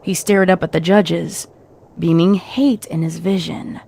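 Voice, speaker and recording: Low-pitched woman narrating a dramatic story. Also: steady tone, a little slow, creaky, dark tone.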